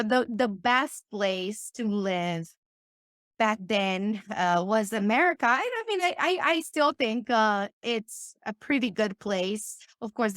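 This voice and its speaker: A bubbly woman from Romania, chatting as a podcast guest and getting hyped up easily. Her voice sits a little high. The sound is dry and close to the mic. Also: no room sound. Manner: excitable, bubbly, laughs often. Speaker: podcast guest, business owner. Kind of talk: casual interview talk.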